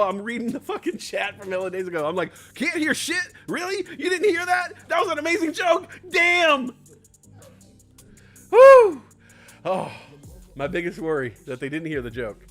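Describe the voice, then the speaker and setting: Young male stream host, lively voice with faint music underneath. Swears a lot and rambles, swinging from low mumbles to loud shouts. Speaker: livestream host. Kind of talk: casual live stream chat.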